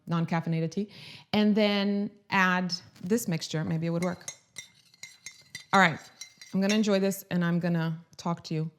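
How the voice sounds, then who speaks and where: Chatty upbeat woman in her thirties. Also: fairly low pitch. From Croatia. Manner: chatty and upbeat, rambling with restarts. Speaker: lifestyle video host. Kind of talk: casual live-stream chat.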